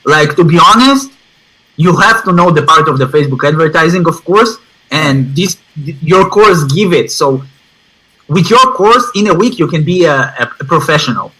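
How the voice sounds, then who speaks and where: Male interview guest, Israeli accent, non-native English. Slightly high, bright, forceful voice; informal, emphatic, repetitive for stress. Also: lively intonation, moderate pace, slightly rough, poor audio. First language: not English, which is a third language. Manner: emphatic, repeats phrases for stress. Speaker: interview guest, online advertising student.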